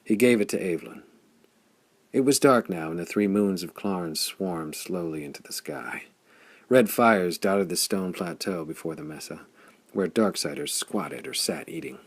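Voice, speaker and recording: A man narrating a pulp adventure audiobook in a measured, theatrical storytelling style. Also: deep voice, animated, vocal fry, breathy edge, dry recording.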